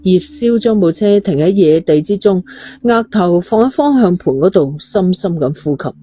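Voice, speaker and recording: A woman's voice: fairly low pitch, animated, moderate pace, warm tone, husky, faint music behind. A woman with a Hong Kong Cantonese accent reads a scripted horror-mystery story as its narrator. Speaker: audio novel narrator.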